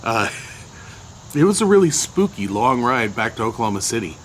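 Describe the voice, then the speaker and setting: An older man with a loud voice casually recounting a memory, unhurried and rambling with many fillers. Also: mid-range voice, sing-song, slight background noise. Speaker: storyteller recounting a personal memory. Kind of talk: casual spoken recollection.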